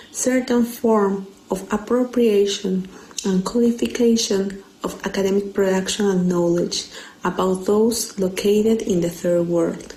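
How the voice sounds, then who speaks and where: A woman's voice: mid-range voice, warm tone. Woman reading an academic paper. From Peru. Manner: careful, measured reading from a script. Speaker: conference speaker. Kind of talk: reading a prepared academic talk. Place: indoor room at home. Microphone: webcam video call. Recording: echoey room with clear background noise.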